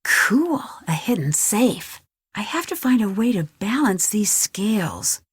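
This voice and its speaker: A woman's voice: medium pitch, big pitch swings, measured pace, slightly rough, no room sound, studio quality, heavily acted. A young woman playing a curious, plucky amateur detective in an adventure video game, thinking aloud to herself. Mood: intent, puzzling. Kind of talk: scripted adventure game voice lines.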